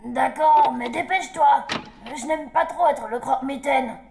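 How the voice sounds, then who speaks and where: A woman voicing a young zombie child in a French cartoon, plaintive and earnest, quick to worry. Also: high voice, gravelly, darkish tone, slight background noise. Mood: worried, pleading. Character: worried young zombie child, pleading and indignant. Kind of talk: French cartoon dub acting. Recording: music behind the voice.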